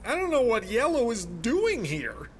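An earnest lieutenant in a crime game, drawn out in pace and swinging dramatically in pitch. The delivery is deferential and eager to please. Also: wildly swooping pitch, unhurried, slight background noise. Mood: puzzled. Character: earnest, deferential police lieutenant reporting to boss. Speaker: police lieutenant. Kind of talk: cinematic game dialogue.

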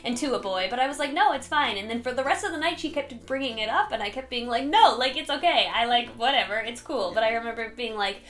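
Casting: young woman, higher-pitched voice, chatty personal storytelling, music behind. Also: animated, fast, few pauses, a bit bright. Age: young adult. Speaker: vlog guest. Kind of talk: casual personal chat. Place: small room indoors, on a couch.

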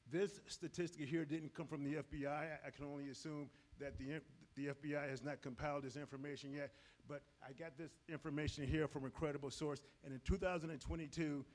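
A middle-aged man with a loud voice, giving a live safety training talk on a handheld mic in a large auditorium, moving around as he speaks. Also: fairly high pitch. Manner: energetic, moves around the stage while talking. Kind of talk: live presentation to an audience. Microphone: handheld microphone.

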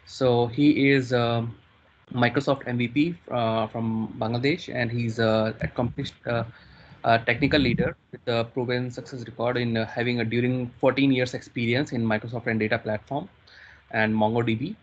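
Halting man on a dull video call. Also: medium pitch, fairly even intonation. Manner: halting, many fillers. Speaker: event host. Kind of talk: introducing a speaker.

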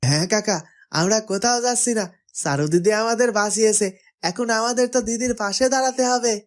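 A woman's voice: fairly high pitch, a little fast, natural voice. A woman voicing a loyal, cheerful young street-food seller in a cartoon. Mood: determined.

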